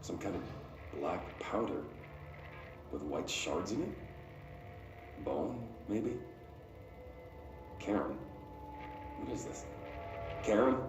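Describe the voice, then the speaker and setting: An actor playing a late-night paranormal radio host, speaking with deliberate, dramatic pauses in an eerie video game monologue. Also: male voice, mid-range voice, reverberant. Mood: hushed, puzzled, then uneasy, alarmed.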